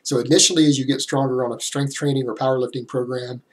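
Man, 30s, slightly hoarse, direct explainer, webcam vlog at home. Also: medium pitch, level tone, quick pace. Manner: direct, explanatory, talks with hands. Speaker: fitness YouTuber. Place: small room at home, indoors.

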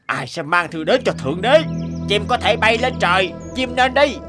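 A man's voice: high-pitched, animated, moderate pace. An actor voicing a stern, indignant tiger king in a cartoon dub, solemn, dramatic and commanding. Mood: grave, commanding. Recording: loud music behind the voice.

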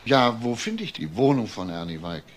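An actor playing a methodical police detective, asking persistent, measured questions in a crime radio play. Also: male voice, mid-range voice, big pitch swings, close to an everyday voice. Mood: measured, probing. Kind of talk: German crime radio drama acting. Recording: faint background noise.

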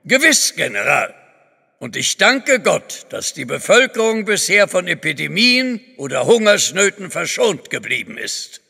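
An actor playing a formal, authoritative Russian grand duke in a German audio drama. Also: male voice, sits high, very animated, a little slow, gravelly, pushed and bright, slightly rough, heavily acted. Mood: grave. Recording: long reverb of a large hall.

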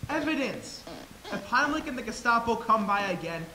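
A young man plays a loyal, anxious townsman in a stage drama. His voice is high and sharp, with emphatic delivery. It echoes around the theater. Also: very animated, moderate pace, heavily acted. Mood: agitated, bitter. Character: anxious, loyal man helping nuns hide children. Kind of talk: amateur stage acting in a high school play. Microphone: distant camera. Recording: echoey stage, hissy distant camera.

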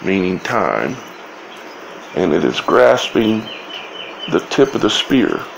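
A man giving informal scripture commentary as a video host, speaking in halting, broken phrases with long pauses. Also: low voice, big pitch swings, creaky, slight background noise, rough recording.

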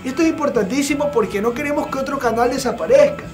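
A young man hosting a TV studio show, giving blunt, opinionated commentary with many filler pauses. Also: extremely high pitch. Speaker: TV show host. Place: TV studio set with sofa. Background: background music.

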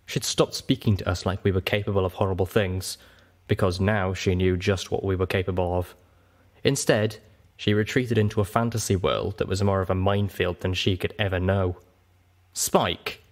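A man narrating a story as an audiobook reader, in an expressive scripted style. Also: deep voice, fairly quick.

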